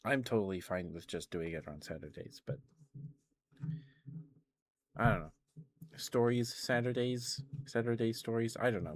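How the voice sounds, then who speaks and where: A man chatting casually as a streamer on an ASMR livestream, thinking aloud in a hesitant, halting way. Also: on the low side, no room sound.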